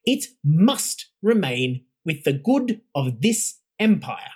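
A woman's voice: low-pitched, big pitch swings, slow, a little mellow, slightly rough, pitched above the performer's own voice, full character voice. A narrator voicing a stern, commanding woman who heads an imperial academy, speaking with cutting scorn. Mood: scornful.

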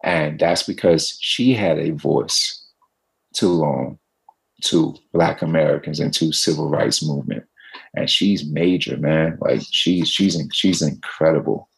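Man, very low voice, raspy, loud and earnest, casual chat. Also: unhurried, poor audio. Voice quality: deep loud voice. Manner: earnest, reflective. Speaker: guest, running group organizer. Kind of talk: casual conversation.

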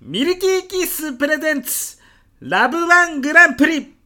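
A man in his fifties playing an earnest department manager who presents in a young woman's girlish manner, in polite, expressive business speech for a comedic radio drama. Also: very high voice, normal speed, full character voice. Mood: gushing, dreamy. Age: 50s.

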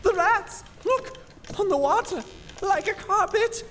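A man playing an excitable, talkative lighthouse keeper in a radio drama, florid and easily thrown into panic. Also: extremely high pitch, unhurried, a little hiss or hum, heavily acted. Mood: panicked. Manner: florid, overdramatic, babbling. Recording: long reverb with music underneath.

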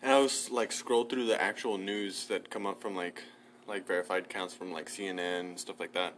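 Rambling young guy, lowish voice. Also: steady tone, fairly quick, a bit bright, slight background noise. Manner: rambling, heavy use of "like", casual swearing. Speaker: podcast co-host. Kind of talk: casual chat.

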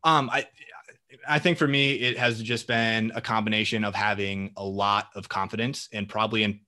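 A man's voice: fairly low pitch, steady tone, normal speed, sharp tone. A young man as a podcast guest, talking with confident, fluent energy in long answers. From Minnesota. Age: young adult. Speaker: guest, marketing entrepreneur.

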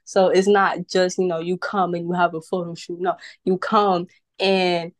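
A young woman from Brooklyn talks, upbeat and chatty, her sentences threaded with casual fillers. Her voice sits a little low for a woman. The sound is dry and close, with no room echo. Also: flat delivery, moderate pace. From Brooklyn, New York. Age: young adult. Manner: chatty, upbeat, with many "like" and "you know" fillers. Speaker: podcast guest, model and event host. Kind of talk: casual interview chat.

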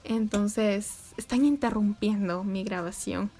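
A woman's voice: mid-range voice, takes it slowly, dark tone, faint music behind. A young woman, chatting casually and upbeat to her viewers at home. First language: Spanish. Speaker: vlogger. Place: home room with neighbors' animals outside.

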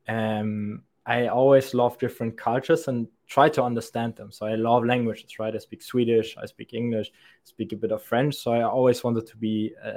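A man in his twenties, a sales account executive, answering podcast interview questions in a rambling, thoughtful way, often restarting his sentences. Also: mid-range voice. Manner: rambling and thoughtful, frequent restarts and soft laughs.

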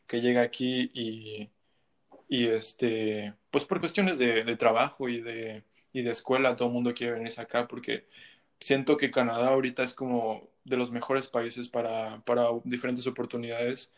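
A man's voice: medium pitch, steady tone, moderate pace. A young man from Mexico with a Mexican Spanish accent, a guest musician telling his story in casual, slangy chat full of fillers. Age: young adult. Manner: rambling, slangy, frequent fillers.

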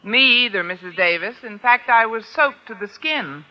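A woman's voice: fairly high pitch, lively intonation, normal speed, bright, forceful tone. An American actress playing a wry, sardonic single woman teacher with dry wisecracking delivery in an old radio sitcom. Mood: dry banter. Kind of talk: 1940s-50s radio sitcom acting. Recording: dull, noisy old radio recording.

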